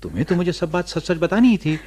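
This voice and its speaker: A man's voice: high-pitched, sing-song, quick pace, a little mellow. An actor playing a fatherly, reassuring doctor in a Hindi radio drama, dropping in hums and English phrases. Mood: soothing. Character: reassuring male doctor, fatherly and jovially brisk.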